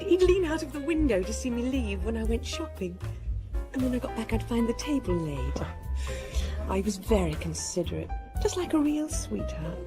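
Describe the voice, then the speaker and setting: A chatty, affectionate woman in a radio play. Her highish voice swoops expressively as she reminisces, with music clearly behind. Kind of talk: radio drama acting.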